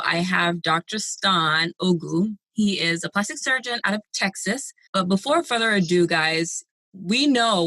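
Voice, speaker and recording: A woman's voice: medium pitch, sharp tone, dry recording, rough recording. A woman in her forties hosting a podcast, upbeat and encouraging as she introduces and questions her guest. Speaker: podcast host. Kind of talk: podcast interview and scripted intro.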